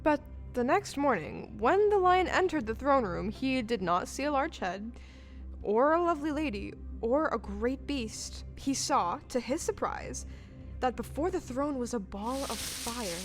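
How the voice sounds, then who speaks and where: A woman's voice: high-pitched, animated, unhurried, soft background music. A woman narrating a classic storybook tale as the storyteller of an audio play, in scripted style.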